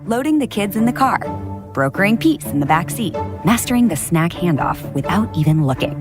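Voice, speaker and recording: Lively lower-voiced woman narrating an ad. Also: a little fast, music in the background. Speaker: commercial narrator. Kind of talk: scripted insurance-ad style narration.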